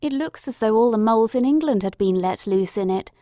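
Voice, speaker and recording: A woman reading a gentle young lady. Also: fairly high pitch, lively intonation, a little fast, dry recording, studio quality, lightly acted. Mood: wondering.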